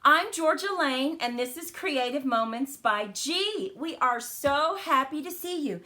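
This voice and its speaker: A woman's voice: high-pitched, lively intonation, unhurried, pushed and bright. A woman hosting a live card-making stream, chatty and welcoming, her voice a little crackly after the flu. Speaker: host of a card-making channel. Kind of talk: live stream chat and crafting demo.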